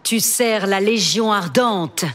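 An actress playing a composed warrior archer who speaks calmly and coolly. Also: female voice, mid-range voice, slow pace, natural voice. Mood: cold. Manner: calm, unshakeable, clipped. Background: music.

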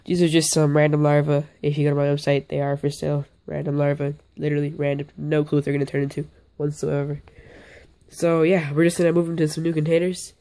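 Hoarse young man, gravelly and dark. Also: fairly high pitch, monotone, quick pace. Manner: rambling, self-interrupting, offhand. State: hoarse, a little sick. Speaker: bug-keeping vlogger. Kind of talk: casual hands-on vlog. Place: indoors, on a carpeted floor.

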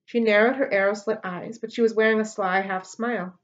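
A woman in her thirties reading a children's novel aloud at home into a webcam, in steady storybook narration. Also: mid-range voice, fairly quick, highs cut off. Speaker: library read-aloud host. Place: living room at home. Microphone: webcam on a desk.

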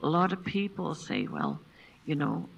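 An older woman who grew up speaking Passamaquoddy first, telling stories at a seminar and pausing to find her English words. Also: deep voice, wildly swooping pitch, creaky, lo-fi sound, a little hiss or hum. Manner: unhurried, pauses to find English words, laughs often. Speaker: traditional basket maker, seminar guest. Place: lecture room, seated at a table with a panel. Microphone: far room camera. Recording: distant voice in a lecture room.

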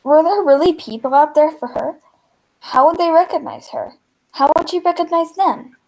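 A woman's voice: sits high, normal speed, creaky, a little mellow, low-quality recording. A teenage girl reading a picture book aloud as part of a drama club, recorded over a video call.

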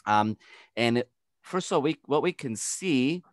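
A man in his forties with an American accent, a tour guide giving a virtual tour over a video call on a headset, talking quickly with frequent restarts. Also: medium pitch, no echo, clean studio sound. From United States. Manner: fast, energetic, repeats words when restarting. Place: home by an open window.

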